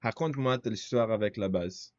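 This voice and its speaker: A man's voice: on the low side, normal speed, no echo, highs cut off. A man from Brazil with a Brazilian Portuguese accent, hosting a casual interview in French, which is not his first language, and sometimes searching for words. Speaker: podcast host.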